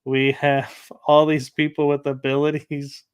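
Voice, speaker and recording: A man's voice: a little high, monotone, a little slow, clear, resonant tone. A man hosting a casual interview, telling rambling anecdotes with light laughs. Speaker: podcast host.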